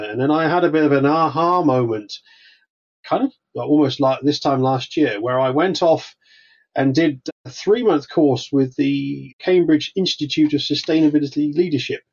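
A man's voice: a little high, a little mellow, husky. A man with a Welsh accent, a guest on a podcast, talking casually with frequent restarts. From Wales. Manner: hesitant, many restarts. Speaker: guest consultant. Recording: slightly dull lo-fi sound.

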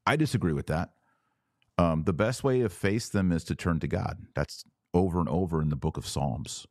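A man's voice: deep voice, normal speed, a little mellow, no echo, studio quality. A man hosting a religious commentary podcast, reading text aloud and remarking on it in an emphatic, questioning manner.

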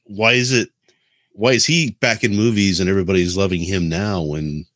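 A man's voice: sits low, steady tone, normal speed, fairly bright tone, dry recording, clean studio sound. A man chatting casually as a podcast co-host, earnestly arguing his point with hesitant restarts. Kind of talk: casual debate among friends.

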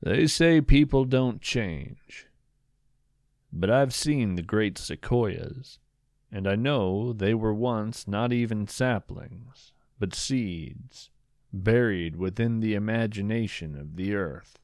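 Guy doing poetic podcast narration, slow and thoughtful, dry close sound, savors words. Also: lowish voice, a little mellow, no room sound. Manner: musing, deliberate, savoring words. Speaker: host and narrator of a storytelling podcast. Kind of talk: scripted, reflective, poetic narration.

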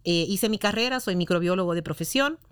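A middle-aged woman gives her testimony in a steady, earnest way. Her voice sits a little low and sounds smooth and clear. The sound is close and dry, with no room around it. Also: fairly quick, no room sound. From Puerto Rico. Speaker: interview guest. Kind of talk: personal testimony on a church radio show.

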